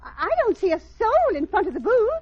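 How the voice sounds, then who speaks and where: A woman's voice: very high-pitched, big pitch swings, normal speed, exaggerated voice. A woman playing a fussy, bossy charity organiser in a radio comedy. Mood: fussy, puzzled. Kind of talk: 1950s American radio comedy acting. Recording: muffled old radio recording.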